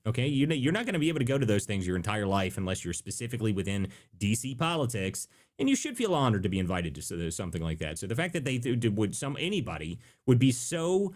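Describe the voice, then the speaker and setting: A man hosting a live political talk show, speaking in an emphatic way with lots of restarts. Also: mid-range voice. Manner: animated, fast, emphatic, many restarts. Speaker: host.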